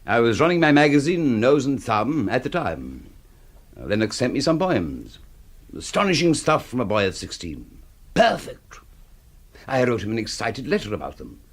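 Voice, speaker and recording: Pompous literary windbag, wildly swooping. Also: male voice, mid-range voice, very animated, moderate pace. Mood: admiring. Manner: pompous, florid, declamatory. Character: bombastic, hard-drinking middle-aged literary critic, grandiloquent and scornful. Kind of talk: radio drama acting.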